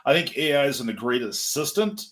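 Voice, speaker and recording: A man co-hosting a business podcast who talks loud and blunt, getting passionate about his point. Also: mid-range voice, big pitch swings, moderate pace. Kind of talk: casual podcast conversation.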